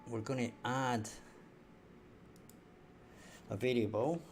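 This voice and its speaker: A young man with a softened Scottish accent, thinking aloud as he works through a live game development stream. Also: fairly even intonation, takes it slowly, slight background noise. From Scotland. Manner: thinking aloud while working, low-key. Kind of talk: live coding stream talk.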